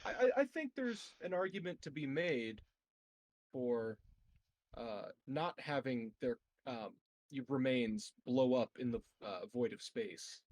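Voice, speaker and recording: A man chatting casually as a tabletop game player, hesitant, with drawn-out pauses. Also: medium pitch, expressive, a little mellow, no echo.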